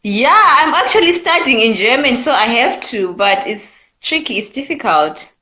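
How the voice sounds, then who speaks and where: Zimbabwean woman, twenties, earnest caller, slightly high pitch, echoey. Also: very animated, moderate pace, bright, forceful tone. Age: 20s. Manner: earnest, repeats her points. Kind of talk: call-in opinion chat.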